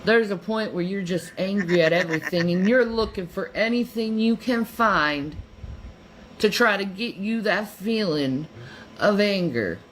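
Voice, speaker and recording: A woman's voice: mid-range voice, animated, measured pace, slight background noise, poor audio. A woman giving an earnest motivational monologue, emphatic and repeating herself.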